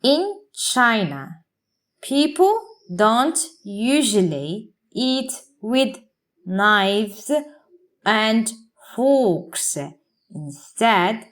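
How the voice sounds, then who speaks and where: A woman English teacher reading a practice passage aloud for learners, enunciating deliberately word by word. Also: on the high side, sing-song.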